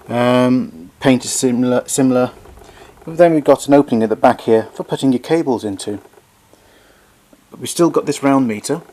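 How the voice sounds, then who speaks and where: A man presenting vintage equipment in an unscripted demonstration, explaining things in a hesitant, pausing way. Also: medium pitch, a little mellow, a little hiss or hum. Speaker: vintage tape recorder collector presenting.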